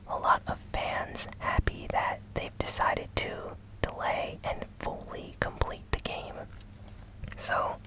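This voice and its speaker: A host softly whispering a gaming news recap in a breathy, unhurried ASMR style. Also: rough recording. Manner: slow, soft, unhurried delivery.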